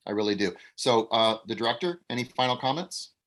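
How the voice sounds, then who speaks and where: A man's voice: medium pitch, expressive, a little fast, fairly bright tone. Man, blunt coach-like teacher, video call, mid-range voice. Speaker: acting teacher leading a table read.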